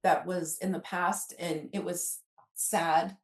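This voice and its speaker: A woman's voice: on the low side, little pitch movement, slow. An American woman in her fifties telling a personal story as a podcast guest, rambling along and acting out snatches of dialogue. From Alaska, United States. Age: 50s. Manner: rambling, quotes dialogue as she goes. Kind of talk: animated personal storytelling in a conversation. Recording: echoey room, distant mic.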